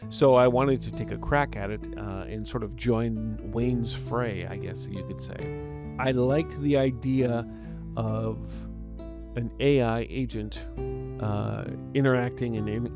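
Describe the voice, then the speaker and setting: A man speaking as a story author and podcast narrator, giving an informal note and outro. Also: mid-range voice.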